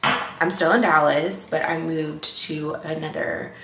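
A woman's voice: sits low, fairly even intonation, unhurried, gravelly. A young woman in her twenties chatting upbeat to the camera while she gets ready at home. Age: 20s. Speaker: lifestyle vlogger. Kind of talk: casual vlog chat to camera. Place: apartment kitchen and bathroom. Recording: echoey room with background noise.

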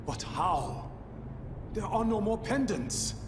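An actor playing a guilt-ridden, desperate samurai in an animated game, speaking haltingly and formally with heavy emotion. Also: male voice, sits high, heavily acted. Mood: guilt-ridden despair. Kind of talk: dramatic animated game voice acting. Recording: long echoing reverb, noisy ambience.

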